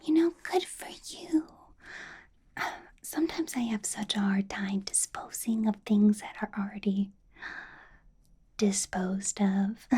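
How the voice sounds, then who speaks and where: Late night in a vampire's candlelit office, an ancient lady boss leans close, whispering breathily and very slowly, doting and menacing, acted by a woman for ASMR. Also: mid-range voice, slightly rough. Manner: slow, drawn-out, coaxing, soft chuckles and repeated words. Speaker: ASMR roleplay performer. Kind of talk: whispered ASMR roleplay, personal attention.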